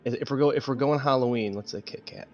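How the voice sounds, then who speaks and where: A man's voice: medium pitch, quick pace, muffled recording, slight background noise. A man chatting casually as a player introducing himself on a tabletop game show. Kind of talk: casual chat.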